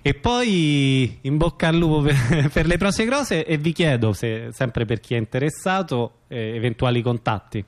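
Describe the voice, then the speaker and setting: A man's voice: mid-range voice, lively intonation, moderate pace, hardly any pauses. Informal male radio interviewer. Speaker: radio host interviewing theatre guests. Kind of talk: casual live radio interview.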